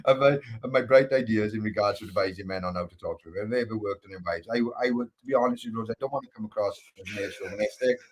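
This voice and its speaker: A man's voice: on the low side, racing, hardly any pauses, poor audio. A man from Wales co-hosting a casual chat show, with a Welsh accent, joking and self-mocking with stumbling restarts. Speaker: co-host.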